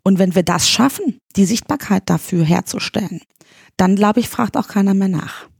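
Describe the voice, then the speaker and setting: A woman in her forties from East Berlin, answering interview questions in a measured way, pausing and searching for words now and then. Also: mid-range voice, gravelly, a little mellow, husky, no room sound, clean studio sound. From East Berlin, Germany. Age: 40s. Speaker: candidate for pharmacists' chamber, guest. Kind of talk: podcast interview.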